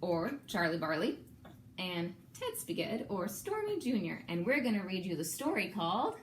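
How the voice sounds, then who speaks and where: Woman, expressive read-aloud of a picture book for young children, teacher delivery. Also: mid-range voice, moderate pace, a little hiss or hum. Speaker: teacher reading a storybook aloud.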